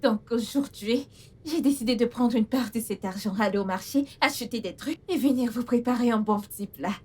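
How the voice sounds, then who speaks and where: High-voiced humble young housemaid. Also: female voice, fairly quick, a little mellow, slightly rough. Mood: warm, respectful. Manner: earnest, effusively grateful. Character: humble, tearful young household employee, devoted daughter. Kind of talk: melodramatic film acting. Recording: music under the voice.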